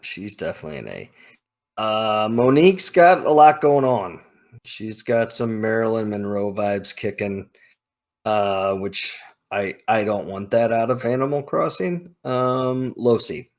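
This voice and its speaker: Slow-paced man giving casual emphatic commentary. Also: fairly low pitch, lively intonation. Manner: emphatic, hyperbolic, informal slang. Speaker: video host ranking game characters. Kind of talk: casual opinionated commentary, thinking aloud.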